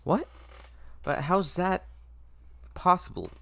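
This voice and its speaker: A man's voice: high voice, slow, vocal fry, a bit bright, pitched above the performer's own voice, exaggerated voice. A man voicing a small, frightened, naive little girl who speaks hesitantly and stammers, in a story read-through. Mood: confused, uneasy.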